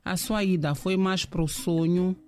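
A man interviewing a guest, asking formal questions in a polite, measured way. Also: high-pitched, fairly even intonation, darkish tone. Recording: faint music in background.

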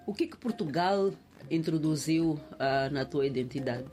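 A woman's voice: low voice, normal speed, dark tone, faint music behind. A woman interviewing in a conversational style, putting questions and short comments to a guest.

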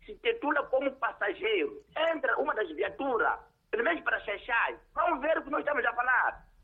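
A man's voice: sits high, husky. A man with a Mozambican Portuguese accent, a non-native speaker, calling in to a radio show and speaking hesitantly, then emphatically. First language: a local language, not Portuguese. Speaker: caller. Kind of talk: call-in radio comment. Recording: tinny phone line.

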